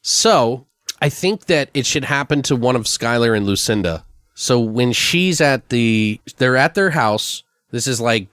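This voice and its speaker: A man's voice: on the low side, fairly bright tone, clean tone, dry recording, studio quality. A man co-hosting a podcast, casually improvising a comic story in a rambling, profane way. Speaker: podcast co-host. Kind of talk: casual comic brainstorming of a made-up slasher story.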